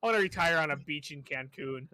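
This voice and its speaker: A man's voice: on the high side, expressive, brisk, bright, forceful tone, rough recording. A man joking around in a casual group chat, playfully putting on announcer-style intros. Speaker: guest on a friend's livestream.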